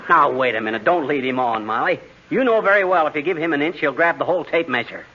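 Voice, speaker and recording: An actor playing a folksy, easily flustered, wisecracking husband in 1940s radio comedy, with slangy, sputtering speech. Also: male voice, fairly high pitch, expressive, quick pace, natural voice. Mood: exasperated. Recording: noisy, muffled 1940s radio recording.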